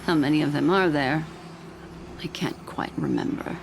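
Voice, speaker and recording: An actress playing a composed, mysterious keeper of memories in a video game, with a poised, measured, knowing delivery. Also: female voice, low voice, steady tone, background noise.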